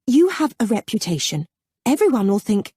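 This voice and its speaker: A woman's voice: medium pitch, sing-song, unhurried, dark tone, slightly rough, dry recording, studio quality. A woman voicing a proud, anxious young society woman who speaks earnestly, with indignation and some hesitation. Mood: worried protest.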